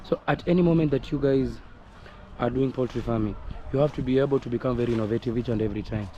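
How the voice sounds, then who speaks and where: A hoarse man with a Kenyan accent, earnestly coaching viewers on his poultry farm in a repetitive manner. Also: mid-range voice, fast, warm tone, muffled recording. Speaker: poultry farmer. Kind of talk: casual instructional vlog. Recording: noisy outdoor recording with roosters crowing.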